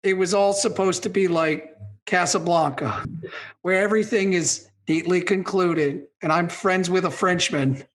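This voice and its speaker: An actor plays a stubborn older boomer on a comedy radio show. The voice grumbles and complains with indignation, set against anything modern, at a middling pitch. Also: unhurried, natural voice. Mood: aggrieved. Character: grumpy, stubborn, technophobic older movie reviewer, a boomer. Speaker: movie recap co-host. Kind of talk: scripted comedy radio review sketch.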